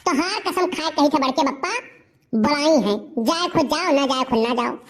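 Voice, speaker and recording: A woman's voice: high-pitched, normal speed, slight background noise, natural voice. A woman voicing a cheeky, scheming village boy with an Awadhi accent, cajoling and insistent in comic cartoon dialogue.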